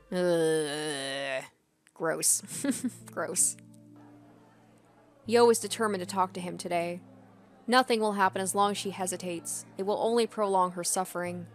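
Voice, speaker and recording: Woman reading a visual novel aloud. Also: mid-range voice. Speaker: let's player. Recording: background music under the voice. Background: game music.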